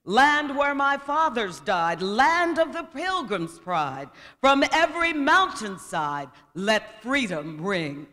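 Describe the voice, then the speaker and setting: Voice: mid-range voice, very animated, slow, few pauses, pushed and bright. A male voice giving a dramatic speech reading with an orator's delivery at a commemorative event. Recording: reverberant hall.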